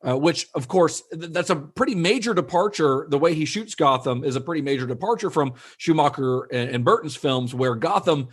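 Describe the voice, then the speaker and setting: A man co-hosting a movie podcast, chatting easily about film history. His voice sits a little high for a man, and he talks in loose, rambling runs full of restarts. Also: fairly quick, hardly any pauses, breathy edge. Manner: chatty, relaxed, with frequent "like" and restarts. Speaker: podcast co-host. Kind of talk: casual film discussion.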